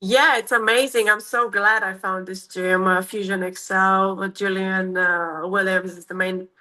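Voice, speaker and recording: A woman's voice: medium pitch, steady tone, a little slow, sharp tone. A woman in her thirties from Romania with a Romanian accent, answering interview questions in a casual, hesitant way with many fillers and restarts. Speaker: MMA fighter, guest.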